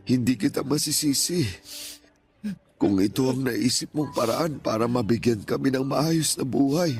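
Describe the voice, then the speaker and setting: A man acting as a gentle, hurt older father in a radio drama, pleading earnestly and emotionally with his grown child. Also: medium pitch, normal speed, lightly acted. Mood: grateful, emotional. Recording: music playing behind the voice.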